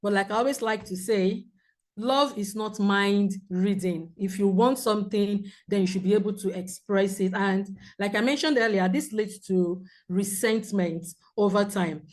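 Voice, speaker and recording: A woman counsellor with a loud voice, teaching a group in a clear, measured way with examples. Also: medium pitch. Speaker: premarital counsellor. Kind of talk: teaching a seminar talk.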